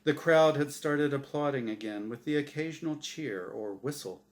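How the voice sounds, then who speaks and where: A man's voice: on the high side, normal speed. A man reading his own short story aloud as dramatic scripted narration.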